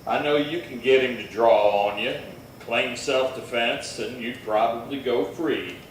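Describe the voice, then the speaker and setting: A man playing a steady, grave Old West marshal in a radio drama, measured and persuasive. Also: mid-range voice, close to an everyday voice. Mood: grave, persuading. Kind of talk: Western radio drama acting. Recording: echoey hall, distant mic, faint noise.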